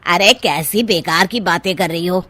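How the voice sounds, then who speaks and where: A woman's voice: fairly low pitch, normal speed, bright, forceful tone, dry recording, pitched below the performer's own voice. A woman voicing a reasonable, placating young husband in a family story.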